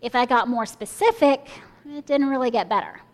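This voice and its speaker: A woman's voice: sits high, normal speed. A young woman preaching a sermon, telling a personal story and inviting listeners to imagine along with her. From Kenya and the US.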